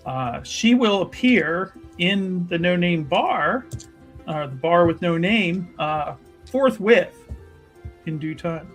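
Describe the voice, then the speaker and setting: A man's voice: high voice, lively intonation, takes it slowly, faint music behind. A man casually narrating his character's moves in a tabletop roleplaying game, with wry banter.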